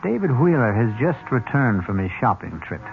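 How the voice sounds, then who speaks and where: A man hosting a vintage radio mystery, narrating with dramatic, deliberate, suspenseful phrasing. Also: medium pitch, big pitch swings, creaky. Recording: muffled vintage radio recording. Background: music.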